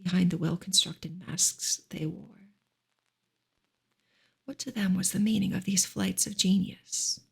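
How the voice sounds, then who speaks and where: Female ASMR narrator for a literary audiobook: very breathy, gentle, near-whispered read, restrained intonation. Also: mid-range voice. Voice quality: breathy, often slipping into whisper. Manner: gentle, hushed delivery. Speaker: narrator reading a novel aloud. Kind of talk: soft-spoken ASMR audiobook reading.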